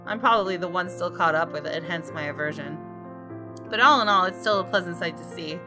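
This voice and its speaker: A woman, a gaming streamer reading visual novel narration aloud in an expressive, dramatic way. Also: on the low side, fairly quick. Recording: music behind the voice. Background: game music.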